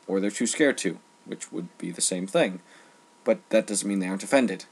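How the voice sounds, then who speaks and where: A man delivering an informal opinion rant, emphatic and halting, often repeating phrases for stress. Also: low voice, husky. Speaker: online ranter.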